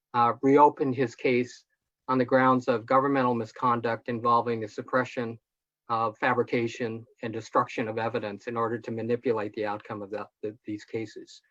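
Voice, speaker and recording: An older man speaks earnestly as a panelist, now and then catching on fillers. His mid-pitched voice arrives over muffled, lo-fi video-call audio. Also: fairly bright tone. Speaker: panelist attorney. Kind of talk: panel remarks.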